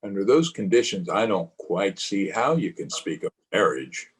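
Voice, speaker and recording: A man's voice: sits low, very animated, moderate pace. A man playing a stern, dry, formal middle-aged father in an old-time radio drama. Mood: coolly disapproving. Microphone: video call.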